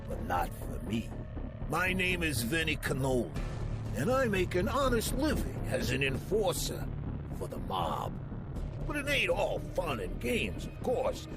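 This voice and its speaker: A man's voice: on the high side, wildly swooping pitch, unhurried, loud music behind the voice, full character voice. A man playing a cocky, tough 1920s mob enforcer in a video game, talking gangster slang with a New York Italian-American accent. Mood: world-weary, wry. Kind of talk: video game character acting with noir narration.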